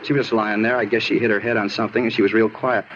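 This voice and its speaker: A man plays a moody American murder suspect in a 1950s radio crime drama. He justifies himself insistently at a quick pace. The old recording is dull, with clear noise behind him. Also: on the low side, flat delivery, lightly acted. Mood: self-justifying. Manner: insistent, matter-of-fact about his crime. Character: moody, defensive young male murder suspect, self-justifying.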